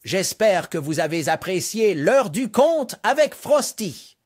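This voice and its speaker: Male children's storyteller, lively sing-song delivery, bright high register. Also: takes it slowly, dry recording, clean studio sound. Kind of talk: bedtime story narration for toddlers.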